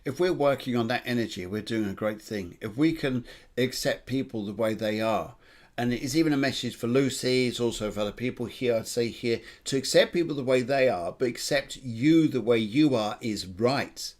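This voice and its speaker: A man with a mid-range voice hosts a casual spiritual chat over a video call. He speaks in a thoughtful, affirming way and pauses to gather his words. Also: medium pitch. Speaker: host, medium.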